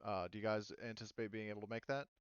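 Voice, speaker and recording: A man's voice: on the low side, flat delivery, rapid-fire, smooth, no room sound, muffled recording. A man casually explaining tabletop game rules as game master, thinking aloud and hedging as he goes.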